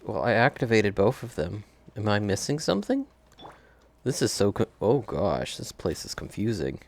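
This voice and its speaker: A man's voice: lowish voice, big pitch swings, normal speed. A man doing casual gameplay commentary, thinking aloud and chuckling often as he streams a video game.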